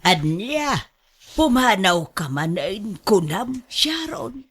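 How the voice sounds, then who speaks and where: A woman's voice: medium pitch, very animated, takes it slowly, breathy edge, exaggerated voice. A woman acting an exasperated older mother in an Ilocano radio drama, nagging and complaining at her grown daughter. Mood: weary disapproval.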